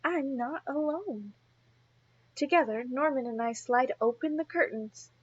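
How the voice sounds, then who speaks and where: Female preschool teacher for storybook reading. High-pitched, unhurried, expressive, talks straight to small children. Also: muffled recording. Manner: expressive, addressing young children directly.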